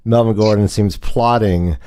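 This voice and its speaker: A man's voice: fairly low pitch, steady tone, a little slow, dark tone, smooth. A man hosting a casual sports talk show, with playful, theatrical emphasis in his delivery. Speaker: host.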